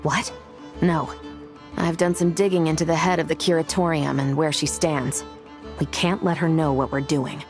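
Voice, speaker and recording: Actress playing a female police investigator, low pitch, formal, serious, cautious, businesslike, video game dialogue, faint music. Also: fairly even intonation, moderate pace, close to an everyday voice. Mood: wary. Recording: music behind the voice.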